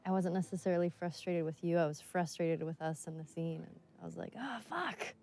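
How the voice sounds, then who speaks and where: A woman with a lowish, dark voice and plenty of fry talks casually as an actress giving commentary. Faint noise sits behind her. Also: fairly quick.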